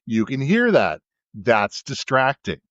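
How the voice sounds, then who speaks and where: A man co-hosting a casual podcast, talking at length in a booming voice, with frequent restarts, into a USB mic kept a little away from his face. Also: huge pitch swings, slow, a bit bright, dry recording, highs cut off. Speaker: podcast co-host. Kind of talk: casual chat. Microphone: USB condenser mic with windscreen, held back from the mouth.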